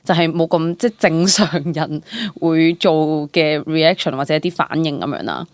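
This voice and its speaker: A young woman with a Hong Kong Cantonese accent shares stories as an actor being interviewed. Her voice sits low for a woman, and her telling is chatty and expressive, sprinkled with English words. The sound is close and dry. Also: moderate pace, no room sound. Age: young adult. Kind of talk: casual interview storytelling.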